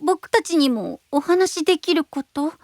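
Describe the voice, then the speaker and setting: A woman's voice: high-pitched, lively intonation, measured pace, dry recording. A woman voicing a timid, sweet, childlike boy knight with hesitant speech in an anime-style audio drama. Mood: concerned, curious.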